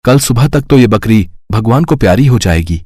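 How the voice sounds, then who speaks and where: A man's voice: mid-range voice, lively intonation, moderate pace, noisy background, natural voice. A man playing an obedient, earnest disciple of a holy man, with a solemn manner.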